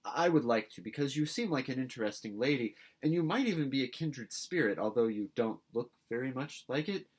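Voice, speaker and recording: A man's voice: medium pitch, animated, fairly quick, hardly any pauses, slightly rough, voice pushed up. A man reading a storybook as an earnest, dramatic orphan girl who pleads in formal, effusive speech. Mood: earnest, polite.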